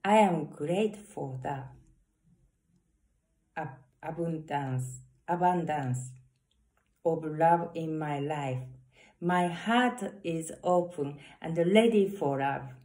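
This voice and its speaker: Slow low-voiced Japanese-accented woman. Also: a little mellow. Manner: slow, earnest, halting English with self-corrections. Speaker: saxophonist vlogger. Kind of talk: reading love affirmations to camera. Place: corner of a small room indoors. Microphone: phone camera at arm's length.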